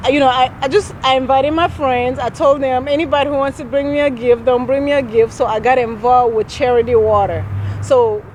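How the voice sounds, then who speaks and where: A woman's voice: sits high, fairly even intonation, brisk, a little mellow, a little hiss or hum. A woman in her thirties, born in Cameroon, founder of a nonprofit, answering interview questions earnestly with frequent restarts and short laughs. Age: 30s.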